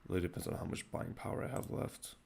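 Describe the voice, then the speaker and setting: A young man with a raspy voice, thinking aloud in low-key, casual commentary while streaming his day trading live. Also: fast, a little mellow, slight background noise. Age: young adult.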